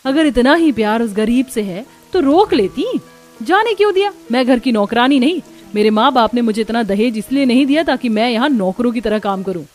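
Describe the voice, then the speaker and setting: Woman voicing a haughty high-pitched young daughter-in-law. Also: lively intonation, brisk, faint music behind, lightly acted. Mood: indignant. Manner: indignant, haughty. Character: proud, spoiled young rich daughter-in-law.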